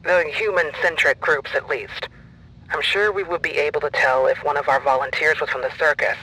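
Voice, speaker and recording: An actor playing a brisk field organizer in an audio drama, dry and matter-of-fact, with a husky voice over a radio link. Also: male voice, a little high, fairly even intonation, bright, forceful tone, a little hiss or hum, low-quality recording. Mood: matter-of-fact, wry. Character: brisk male field organizer planning a covert operation. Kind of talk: naturalistic audio drama dialogue.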